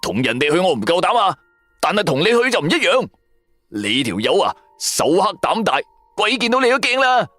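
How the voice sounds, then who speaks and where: Man playing young male hustler sidekick, Cantonese, timid yet money-hungry, wheedling, slangy, swooping pitch, light music behind. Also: very animated, normal speed, fairly bright tone, no room sound, exaggerated voice. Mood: nervy, egging on. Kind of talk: Cantonese radio drama dialogue.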